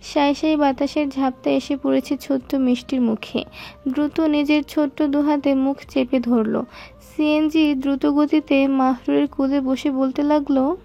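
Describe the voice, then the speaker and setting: A woman's voice: high-pitched, level tone, unhurried, vocal fry, dark tone. A woman narrating a scripted romantic story.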